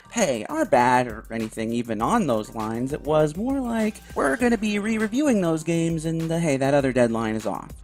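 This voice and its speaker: Guy doing opinion commentary, kinda high voice, super expressive and wry, music playing behind him. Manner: emphatic, wry, occasionally sarcastic. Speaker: video commentator. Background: background music.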